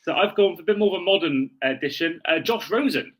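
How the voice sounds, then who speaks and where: A man chats as a podcast co-host, his voice a little higher than average and clearly bright. His delivery is informal and talkative, suited to casual sports conversation. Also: animated, fast.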